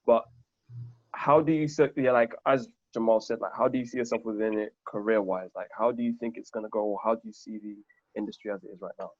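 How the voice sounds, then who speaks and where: A man's voice: on the low side, fairly even intonation, fast talker, no room sound, lo-fi sound. A man in his twenties co-hosting a podcast, asking rambling questions in a playful, casual way. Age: 20s. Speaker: podcast co-host.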